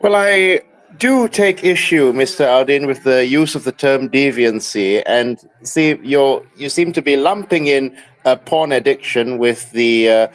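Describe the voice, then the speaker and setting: Measured man from Malaysia, debating. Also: mid-range voice, low-quality recording. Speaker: debate opponent, critic. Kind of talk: polite live political debate. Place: live online audio chat room.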